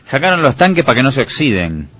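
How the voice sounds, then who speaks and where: A man's voice: medium pitch, very animated, fast talker, bright, forceful tone. A man in his thirties with an Argentine accent, co-hosting a radio show in a casual, joking, opinionated way. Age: 30s. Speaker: radio co-host. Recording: dull lo-fi radio with faint music.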